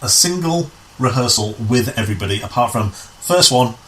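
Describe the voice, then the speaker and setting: A man with a bright, forward voice at a medium pitch talks casually as an interview guest. He often restarts phrases while explaining, and there is some noise in the recording. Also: expressive, normal speed, poor audio. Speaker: amateur pantomime director, interview guest. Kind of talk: casual conversational storytelling.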